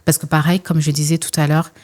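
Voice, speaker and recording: Low-voiced woman, earnest and emphatic. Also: level tone, fast talker. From France. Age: 40s. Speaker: podcast guest, entrepreneur and former social worker. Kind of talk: conversational interview.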